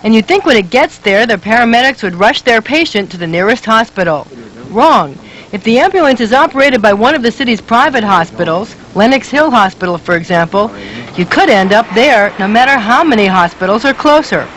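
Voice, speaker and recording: A woman's voice: on the high side, expressive, a little fast, a bit bright, rough recording. Woman doing a punchy tv news investigation voiceover, bit of noise on the tape. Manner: emphatic, dramatic delivery with rhetorical questions. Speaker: television news reporter. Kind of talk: scripted investigative news report.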